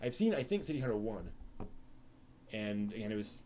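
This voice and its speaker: A man's voice: on the low side, moderate pace, a little hiss or hum. A man hosting a casual anime live stream, telling stories in a rambling way with many fillers and restarts. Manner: animated storyteller. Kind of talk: casual chat with chat readers.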